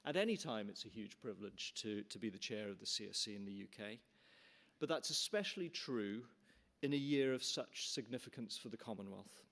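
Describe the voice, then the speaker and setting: A man's voice: lowish voice, lively intonation, fairly quick, creaky, fairly bright tone. A middle-aged man from Canada, the chair, giving an earnest formal welcome speech in a conference hall with frequent restarts and fillers.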